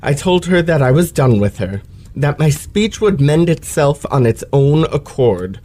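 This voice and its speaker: A man's voice: fairly high pitch, very animated, unhurried, a little mellow, slight background noise. A man playing a pompous, self-pitying male lecturer with florid, precise elocution in an old-fashioned radio play. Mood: indignant.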